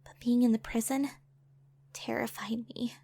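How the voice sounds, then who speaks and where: A woman's voice: on the high side, level tone, measured pace, creaky, mellow, breathy edge, heavily acted. A woman playing a traumatized, fearful young bard who tells her story in an audio drama. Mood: wistful. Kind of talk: audio drama narration with emotional acting. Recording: music under the voice.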